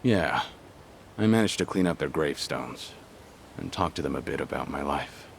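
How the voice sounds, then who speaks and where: An actor playing a grave but gentle aging yakuza legend in a game cutscene, low and gravelly, speaking with measured restraint. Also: male voice, lively intonation, slight background noise, heavily acted. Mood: somber, reflective. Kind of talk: video game cutscene acting.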